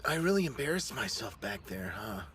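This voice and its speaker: A man's voice: fairly low pitch, slight background noise. A jumpy, stammering teen boy. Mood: nervous. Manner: nervous, stammering, quick to shout with excitement. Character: timid, excitable teen boy, the lone sumo club member. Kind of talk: English anime dub acting. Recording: dub with music score behind.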